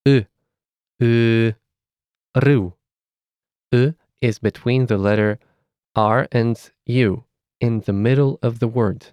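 A man with a Romanian accent teaching a recorded language lesson, saying each letter slowly and clearly. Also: medium pitch, steady tone, a little mellow, no echo. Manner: measured, careful enunciation of letters.

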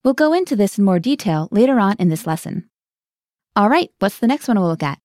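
A woman's voice: mid-range voice, very animated, fairly quick, darkish tone, dry recording, studio quality. A woman hosting a scripted language lesson, guiding the learner and translating each phrase into English.

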